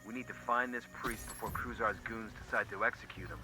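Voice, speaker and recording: An actor playing a determined special forces squad leader in a video game, terse and direct. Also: male voice, fairly low pitch, fairly even intonation, fairly quick, loud music behind the voice, lightly acted. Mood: focused. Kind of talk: video game cutscene acting.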